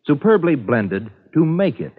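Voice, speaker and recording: A man's voice: fairly high pitch, very animated, measured pace, slightly rough. A man reading a 1950s radio advertisement as a commercial announcer, with polished, emphatic salesmanship. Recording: muffled 1950s radio broadcast.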